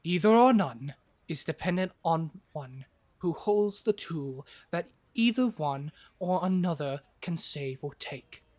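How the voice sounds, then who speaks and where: A man acting a measured, cryptic doctor in a fantasy audio drama, with deliberate, formal speech. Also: on the high side, animated, a little mellow. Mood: guarded, formal.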